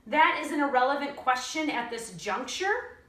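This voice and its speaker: A woman's voice: high-pitched, lively intonation, moderate pace, fairly bright tone, voice pushed up. A woman voicing an earnest, stubborn young girl who speaks in formal, grown-up words for a storybook. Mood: earnest polite. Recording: roomy echo, distant mic.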